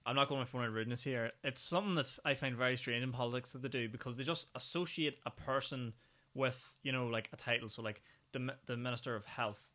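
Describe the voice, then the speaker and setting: A man hosts a casual podcast. He talks quickly in a bright, forceful voice, with a teasing manner and frequent laughs. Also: mid-range voice. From Northern Ireland. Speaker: podcast host.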